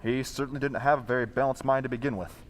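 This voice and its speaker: A man playing a calm detective hero in an old-time radio drama, explaining things in a declamatory way. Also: mid-range voice, quick pace, a little hiss or hum, natural voice. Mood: calm, explanatory. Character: male detective hero Lamont, calm, explaining a murder case.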